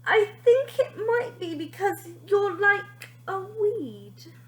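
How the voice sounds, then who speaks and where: A woman's voice: very high voice, expressive, slow pace, slight background noise, pitched above the performer's own voice, full character voice. A woman voicing an earnest, awkward little schoolboy in a storybook, emphatic and pleading. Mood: awkward, reluctant.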